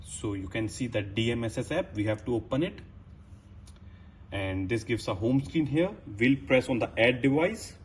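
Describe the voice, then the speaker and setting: A man presenting a step-by-step how-to demonstration as a technician, with plain, halting instructional phrasing. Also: on the low side, a little hiss or hum.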